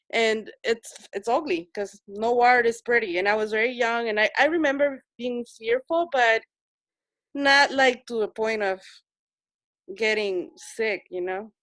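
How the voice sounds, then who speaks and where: A woman's voice: a little high, a little slow, a bit bright, no echo. A woman in her forties from El Salvador, telling her own story on a video call in an earnest way and repeating herself for emphasis. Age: 40s. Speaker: Zoom meeting participant. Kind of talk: personal story in group video chat.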